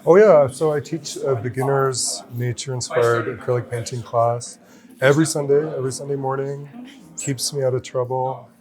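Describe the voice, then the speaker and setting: A man's voice: medium pitch, steady tone, moderate pace, crowd noise, rough recording. A man chatting casually as a guest in an interview. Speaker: artist and painting teacher, interview guest. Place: art gallery reception. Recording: music behind the voice.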